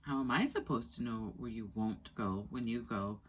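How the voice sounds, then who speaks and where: A woman voicing a small, timid, curious female dog from a children's storybook, with a questioning, excitable manner. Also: very deep voice, normal speed, a little hiss or hum, voice pushed down. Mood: puzzled.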